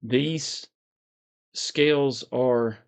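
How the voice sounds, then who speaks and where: A man with a calm, soothing voice, hosting a casual livestream, reading viewer comments in a relaxed, meandering way. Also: medium pitch, drawn-out words, smooth. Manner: relaxed, meandering, frequent fillers and soft chuckles. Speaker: livestream host.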